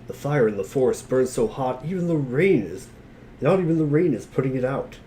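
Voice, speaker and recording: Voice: mid-range voice, lively intonation, a little fast, dark tone, slight background noise, rough recording. A narrator reading a second-person story aloud in a dramatic reading.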